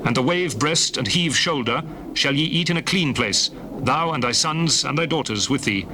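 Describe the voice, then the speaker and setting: A man playing a stern, solemn biblical prophet, speaking gravely and with command in a dramatized scripture reading. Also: medium pitch, expressive, normal speed, background noise, close to an everyday voice. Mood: instructing.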